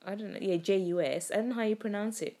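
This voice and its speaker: A woman's voice: medium pitch, quick pace, mellow. A young woman co-hosting a casual, tipsy podcast chat, rambling, swearing and laughing often, whose first language is not English. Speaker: podcast co-host. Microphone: home recording, lying down.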